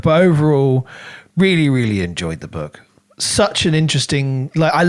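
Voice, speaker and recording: A middle-aged man co-hosting a podcast, chatting informally and keenly in a casual book review. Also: fairly high pitch. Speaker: podcast co-host.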